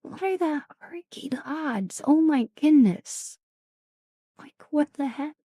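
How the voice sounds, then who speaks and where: A woman streamer chatting casually with viewers in a soft ASMR style, her voice raspy and deeper than usual, often slipping into whispers. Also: expressive, slow, no room sound, studio quality. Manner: chatty, greets and thanks viewers.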